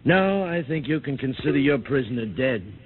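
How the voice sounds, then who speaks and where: An actor in a 1950s radio drama playing a dutiful, matter-of-fact checkpoint sentry with a brisk, official manner. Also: male voice, medium pitch, animated, loud music behind the voice, lightly acted. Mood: businesslike. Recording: tinny, hissy old radio recording.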